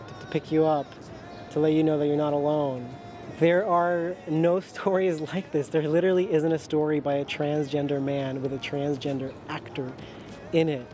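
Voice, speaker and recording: A filmmaker giving a passionate, heartfelt voice-over about their film. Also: mid-range voice. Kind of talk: documentary voice-over. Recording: voice-over with soft music.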